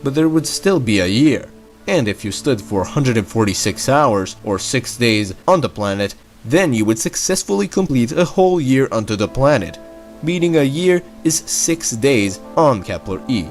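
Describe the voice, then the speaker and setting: Male narrator for an educational explainer, mid-pitched with lively intonation, faint music bed. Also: moderate pace. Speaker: narrator of an astronomy explainer video. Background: background music.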